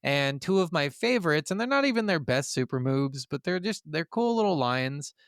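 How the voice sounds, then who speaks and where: A man's voice: a little high, animated, moderate pace, fairly bright tone, no echo, clean studio sound. A man chatting casually about video games as a podcast host, swearing and teasing freely.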